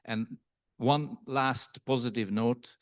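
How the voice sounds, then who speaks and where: A man from Hungary speaking as a panelist at a conference, with a halting delivery full of hesitations. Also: medium pitch, steady tone, no room sound, clean studio sound. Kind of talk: panel discussion remarks. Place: conference hall.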